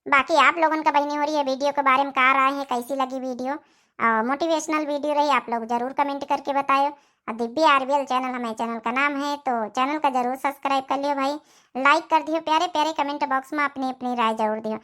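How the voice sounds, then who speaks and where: High, bright-voiced Awadhi woman host. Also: steady tone, fairly quick. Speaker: channel host. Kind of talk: direct address to viewers, moralizing.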